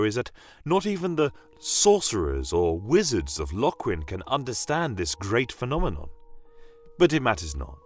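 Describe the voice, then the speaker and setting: A man's voice: low voice, a bit bright. A man playing a genial fantasy doctor and alchemist, telling tales of his world in a formal, florid, theatrical way. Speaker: in-character narrator. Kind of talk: scripted in-character fantasy storytelling.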